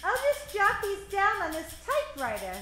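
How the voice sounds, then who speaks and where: Very high-pitched perky secretary. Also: female voice, wildly swooping pitch, normal speed, roomy sound, over music, exaggerated voice. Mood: coy, flirtatious. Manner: breathless, gushing 1940s gee-whiz speech. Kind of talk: old-time radio detective parody acting.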